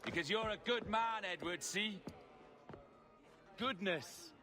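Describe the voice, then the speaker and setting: An actor playing a ruthless, charismatic pirate captain with a theatrical, mocking delivery in a game cutscene. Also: male voice, sits high, slow pace, music in the background. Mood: menacing. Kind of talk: video game cutscene acting.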